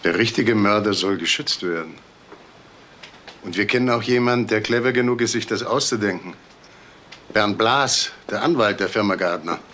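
A male actor plays a persistent detective in a crime radio play. His voice is fairly low with a hard, bright edge. He speaks in measured, pointed lines over faint noise. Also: natural voice. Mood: sober. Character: persistent, probing police detective. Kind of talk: crime radio drama acting.

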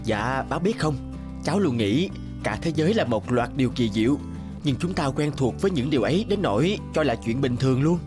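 A man's voice: mid-range voice, lively intonation, brisk, music in the background, close to an everyday voice. A man voicing an eager, polite young scholar in a children's cartoon, earnest and respectful. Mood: thoughtful.

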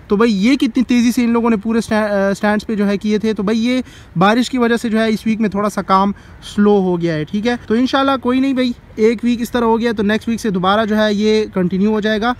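A young man with a Pakistani Urdu accent and a notably high voice gives a chatty, enthusiastic walking tour of an outdoor construction site. He talks into a phone held at arm's length, keeps calling his viewers "bhai", and there is distant traffic behind him. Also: fairly even intonation, brisk. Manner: chatty and enthusiastic, repeats "bhai" and "dekh sakte hain". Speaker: vlogger. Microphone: phone camera at arm's length.

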